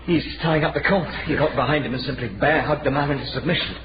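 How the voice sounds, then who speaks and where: An actor playing an anxious, excitable young gentleman in a radio drama, with a breathless, astonished manner. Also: fairly high pitch, quick pace, close to an everyday voice. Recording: echoey, dull, noisy radio recording.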